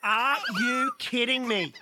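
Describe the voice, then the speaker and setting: A man's voice: extremely high pitch, expressive, brisk, background noise, voice pushed up. A man voicing an exasperated, bossy mother bear in a comic bedtime story, with an Australian accent and an emphatic, scolding manner.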